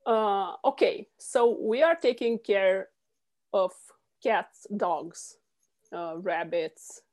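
A woman's voice: medium pitch, drawn-out words. A woman in her forties with a Romanian accent chats as a guest. She is giggly and full of "uh" fillers, and she leans hard on repeated phrases to make her point. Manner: warm and giggly, many "uh" fillers, emphatic repetition. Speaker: podcast guest, coach. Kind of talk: casual interview chat.

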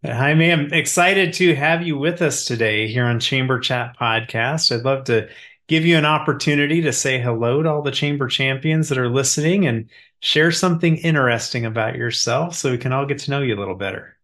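An upbeat male podcast host with a voice at ordinary pitch. He reads a polished introduction, then moves into easy, friendly questions. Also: a little fast, fairly bright tone. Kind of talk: podcast interview hosting.

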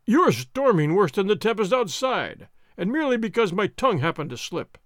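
A man voicing a loud, boastful army sergeant in a storybook adventure, blustering and theatrical. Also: high-pitched, sing-song, moderate pace, dry recording, clean studio sound. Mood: alarmed flattery. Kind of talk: dramatized adventure storybook reading.

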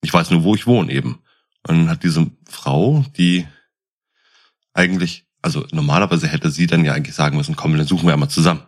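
A man casually telling personal anecdotes in an animated storytelling manner. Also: a bass voice, a little fast.

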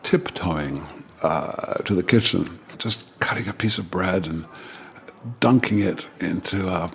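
A man talking as a guest in a relaxed conversation, hesitant and full of restarts. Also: low-pitched, unhurried, creaky, slight background noise. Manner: hesitant, many restarts and fillers. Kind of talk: casual chat.

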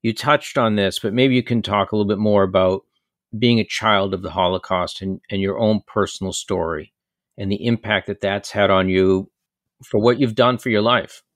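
A man interviewing a guest, putting measured, conversational questions to him. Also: fairly low pitch, steady tone, no room sound, clean studio sound.

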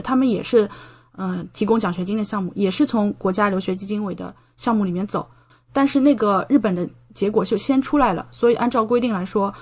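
A woman in her thirties from China talking casually as a podcast guest, explaining things in a chatty way with frequent tag questions. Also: mid-range voice. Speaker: podcast guest, doctor studying abroad. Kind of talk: casual conversational interview, explaining and recounting.

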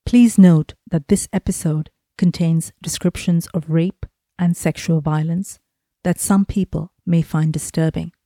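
A woman hosting a podcast, reading a measured, earnest introduction and then interviewing a guest. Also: low voice, fairly even intonation, warm tone, dry recording, studio quality. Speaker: podcast host.

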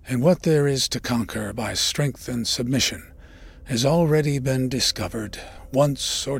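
A man reading poetry in a measured, solemn, dramatic recitation with deliberate pauses and a gravelly voice that turns breathy at phrase ends. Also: medium pitch. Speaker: poetry reader. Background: ambient sound design.

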